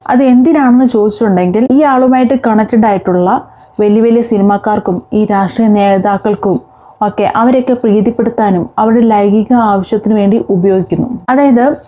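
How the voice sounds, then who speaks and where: A woman hosting an explainer vlog, talking directly to viewers. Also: mid-range voice. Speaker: video host. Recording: dull, lo-fi sound.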